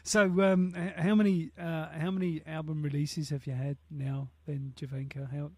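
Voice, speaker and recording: Man, radio interviewer, encouraging, repeats praise, highish voice, dry studio. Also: little pitch movement, normal speed, no echo. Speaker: radio host.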